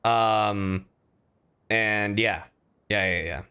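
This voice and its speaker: A man streaming a game and chatting casually, animated and often halting mid-thought. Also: fairly low pitch, clean tone. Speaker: streamer and voice actor. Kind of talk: casual livestream chat over gameplay.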